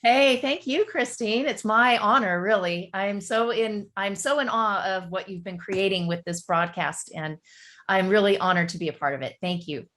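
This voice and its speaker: A woman in her fifties, a guest on an interview show, telling her story in a chatty, animated way and talking to listeners directly. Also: medium pitch, moderate pace. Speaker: guest, real estate broker.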